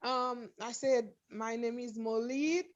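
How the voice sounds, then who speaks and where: A woman's voice: on the high side, steady tone, slow pace. Hesitant woman from Haiti, dull line. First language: French. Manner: hesitant, careful speech with "um" and restarts. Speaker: prospective student asking questions. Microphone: video call. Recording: dull lo-fi call audio.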